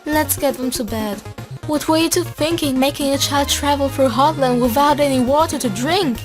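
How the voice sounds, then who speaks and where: A woman playing a gentle, worried motherly monster queen in an amateur comic dub, speaking with maternal care. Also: high voice, moderate pace, music in the background, natural voice. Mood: concerned, scolding.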